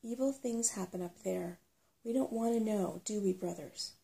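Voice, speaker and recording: A woman voicing a gruff, wary, self-important male mountain dwarf in a storybook. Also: mid-range voice, moderate pace, mellow, natural voice. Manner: gruff, grunting. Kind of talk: storybook character reading.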